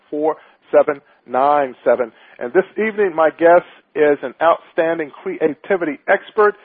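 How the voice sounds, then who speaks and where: A man's voice: on the high side, slightly rough, no echo. A man hosting an internet talk radio show, upbeat and emphatic, often repeating himself. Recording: tinny dry phone-line audio.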